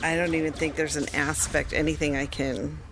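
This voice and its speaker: A woman's voice: sits low, flat delivery, normal speed. A hesitant woman playing a tabletop role-playing game, switching between in-character lines and casual talk. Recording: loud music bed behind voice.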